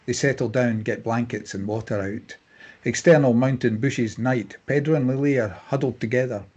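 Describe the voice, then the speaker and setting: Husky male screenplay narrator. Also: medium pitch, normal speed, a little mellow, muffled recording. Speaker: narrator reading stage directions.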